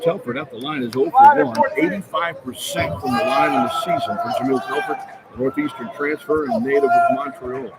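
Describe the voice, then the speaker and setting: A man calling live basketball on the radio from courtside in an excitable manner, in short, clipped phrases. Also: medium pitch, brisk. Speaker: radio play-by-play commentator. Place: courtside in a busy basketball arena. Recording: loud crowd and arena noise behind. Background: roaring crowd, arena noise.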